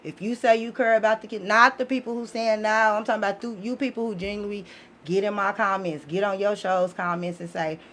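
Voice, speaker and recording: A woman's voice: mid-range voice, steady tone, brisk, fairly bright tone. A woman podcast guest talking in an impassioned, conversational way, blunt, emphatic and full of slang. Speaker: podcast guest, author of children's book series. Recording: noisy room ambience.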